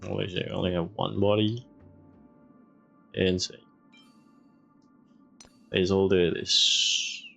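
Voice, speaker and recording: A man's voice: sits low, level tone, slow pace, creaky, long pauses, sharp tone, soft background music. A man giving casual game stream commentary, muttering to himself in short fragments.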